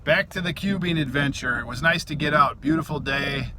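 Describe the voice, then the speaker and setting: Midwestern man rambling over loud vehicle noise. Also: a little high, fairly quick. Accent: American Midwestern. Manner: mumbling, chatty, offhand. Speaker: vlogger, reseller. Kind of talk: casual rambling vlog talk.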